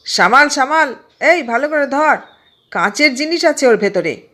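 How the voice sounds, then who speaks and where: A woman's voice: high-pitched, expressive, measured pace, sharp tone, pitched above the performer's own voice, full character voice. A woman voicing flustered, bossy bus passengers in an audio story, calling out urgently. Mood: bossy, alarmed.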